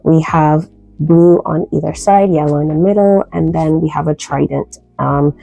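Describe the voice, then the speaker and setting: A woman from Barbados, her Barbadian accent softened, talks through a soap-making tutorial in a relaxed, chatty way, full of ums and asides. Her voice sits low and mellow with a gravelly edge, and faint music plays underneath. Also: moderate pace, poor audio. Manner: relaxed, chatty, many fillers, light laughs. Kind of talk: casual tutorial chat.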